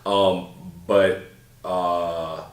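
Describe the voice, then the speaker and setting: Laid-back deep-voiced man in roomy audio. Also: level tone, drawn-out words. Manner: laid-back, slangy, rambling with many fillers. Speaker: podcast co-host. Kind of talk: casual chat. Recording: roomy, a bit far from mic.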